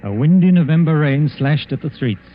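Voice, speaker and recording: An actor playing a wry, uneasy gentleman who narrates a 1940s radio mystery in a formal, dry manner. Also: on the high side, animated, normal speed, natural voice. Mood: brooding, atmospheric. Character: Arthur Grange, wry uneasy gentleman narrator, old friend. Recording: tinny, noisy vintage 1940s radio recording.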